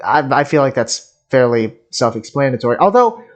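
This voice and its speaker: A host talking casually and a little haltingly, reacting to a video game as commentary. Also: mid-range voice, very animated. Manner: halting, hesitant, giggly.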